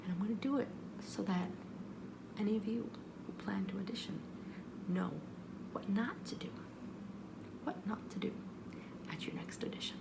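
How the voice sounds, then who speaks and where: A woman's voice: mid-range voice, normal speed, gravelly, pauses often, whispered, low-quality recording. A woman telling a story in a casual, chatty vlog style, animatedly acting out what people said. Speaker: vlogger. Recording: noticeable background noise.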